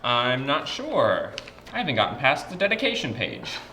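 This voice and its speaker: A man plays an anxious young male writer with a lively, swinging delivery. He is defensive and dryly sarcastic, in comedy-drama dialogue recorded in a roomy space with faint noise. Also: mid-range voice, normal speed. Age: young adult. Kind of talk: acted dialogue in a comedy-drama web series.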